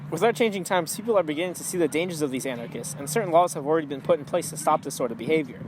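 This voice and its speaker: A man's voice: a little high, lively intonation, very fast, lightly acted. A man playing an opinionated, alarmist author interviewed on a 1920s radio show. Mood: indignant. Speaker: talk show guest, author. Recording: noisy amateur recording.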